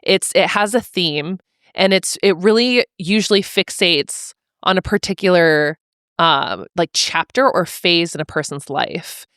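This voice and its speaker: A woman's voice: on the low side, unhurried, fairly bright tone, smooth, dry recording, studio quality. A young adult woman guest on a podcast, talking casually and thoughtfully, with many fillers and restarts. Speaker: author guest. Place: mobile podcast studio.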